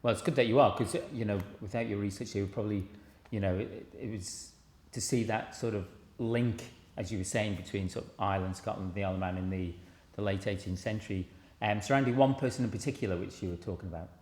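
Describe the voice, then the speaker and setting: A man with a low voice interviews a guest, asking casual, rambling questions with plenty of fillers. The sound is echoey, like a bare room. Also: fast, hardly any pauses, darkish tone.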